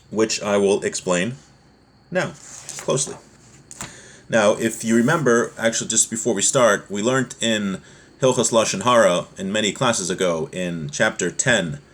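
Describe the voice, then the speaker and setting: A man giving an informal religious lesson as a teacher, explaining point by point, repeating himself and posing rhetorical questions. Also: on the low side. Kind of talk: informal lesson, reading text aloud and explaining it.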